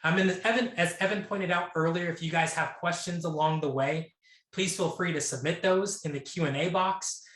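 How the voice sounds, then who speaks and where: A man's voice: a little high, a little fast, fairly bright tone, reverberant. A man moderating a webinar panel over a video call, casual, with fillers and restarts.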